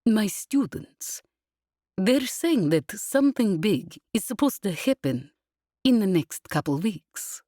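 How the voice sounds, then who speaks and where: A woman narrator plays a female university teacher in an audiobook. She is thoughtful and speaks slowly, weighing her words deliberately. The sound is very dry. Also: mid-range voice, very animated, warm tone, no echo, pitched above the performer's own voice. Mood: cautious. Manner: slow, deliberate.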